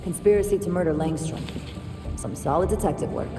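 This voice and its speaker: A woman's voice: fairly low pitch, expressive, fairly quick, recorded from a distance, over music. An American woman playing a wry, no-nonsense police detective in a video game cutscene, dry and blunt. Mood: dry, businesslike. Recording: echoey hall with score behind.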